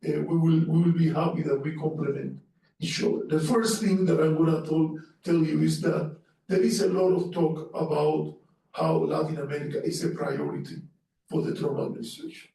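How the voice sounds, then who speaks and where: A man with a Cuban Spanish accent speaks earnestly to a seminar audience, often stumbling and restarting his sentences. His voice is slightly high and mellow. He sounds distant from the mic, in a very echoey room. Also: steady tone, creaky. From Cuba. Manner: earnest, halting with restarts and fillers. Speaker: panelist. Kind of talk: academic seminar talk.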